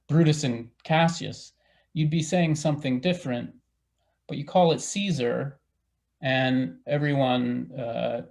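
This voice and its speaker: A man of medium pitch with a lot of gravelly fry in his voice speaks on a panel. He explains his reading carefully and haltingly, putting his views forward as tentative opinion. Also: a little mellow. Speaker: panel guest. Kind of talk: panel discussion, explaining an interpretation.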